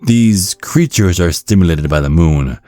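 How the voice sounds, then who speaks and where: A man with a very low voice delivers scripted ASMR narration like a guide to the listener. His intonation moves in wide, lively swings while staying measured and instructional. The sound is close and dry, with soft music behind him. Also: dry recording.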